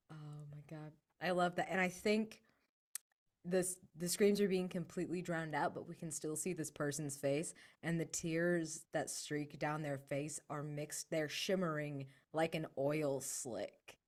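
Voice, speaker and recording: A man narrating an improvised scene as a tabletop game player, expressive and dramatic. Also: a little high, measured pace.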